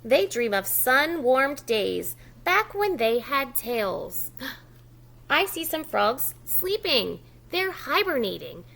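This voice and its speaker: Female preschool storytime reader: slow, very expressive delivery, lively pitch swings, encouraging asides for little listeners. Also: vocal fry. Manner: expressive, encouraging, simple child-directed talk with prompts to repeat words. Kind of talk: children's picture-book read-aloud with asides to young listeners.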